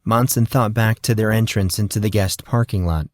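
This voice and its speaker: A man's voice: lowish voice, moderate pace, no room sound, clean studio sound. A man reading scripted fantasy fiction as an audiobook narrator.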